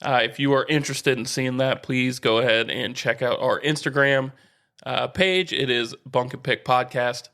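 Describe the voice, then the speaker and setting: A man with a bright, forward voice and a middling pitch, chatting casually as a podcast co-host. He talks informally and likes to tease and joke with his partner. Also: normal speed. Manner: teasing, jokey, informal. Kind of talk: casual bantering sports and food chat.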